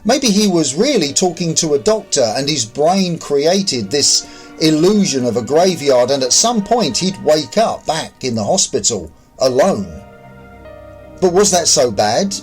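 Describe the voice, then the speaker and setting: A man who is an author narrating his own story in a scripted audiobook style. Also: a little high.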